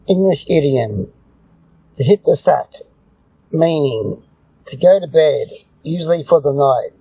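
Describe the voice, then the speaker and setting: A man reading English lesson passages and idioms aloud from a script, his voice husky and creaky. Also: fairly high pitch, animated, unhurried, dark tone, dry recording, low-quality recording.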